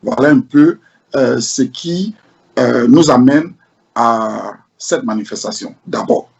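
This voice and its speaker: A man from Côte d'Ivoire answering interview questions as a protest organizer, measured and using fillers. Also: mid-range voice, creaky, a little mellow, dull audio.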